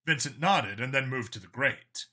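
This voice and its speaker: A man's voice: medium pitch, animated, normal speed, sharp tone. A man reading a science fiction action story aloud as dramatic scripted narration. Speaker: audiobook-style story narrator.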